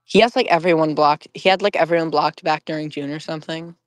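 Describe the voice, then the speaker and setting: A podcast panelist chatting casually in a group, telling things in a halting, rambling way. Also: mid-range voice, fairly even intonation, dry recording, clean studio sound.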